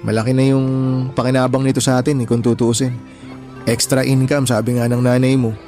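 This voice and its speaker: Earnest young husband, played by an actor, music behind. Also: male voice, mid-range voice, little pitch movement, brisk, a little mellow, natural voice. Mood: earnest, determined. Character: earnest, hardworking, humble young husband and expectant father. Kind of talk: Tagalog radio drama dialogue.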